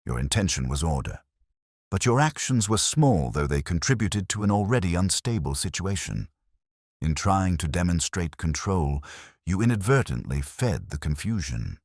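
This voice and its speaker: A man voicing a calm, kindly police inspector in a mystery story, speaking in a measured, formal, gently lecturing way. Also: low voice, no echo, clean studio sound, exaggerated voice. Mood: gently firm.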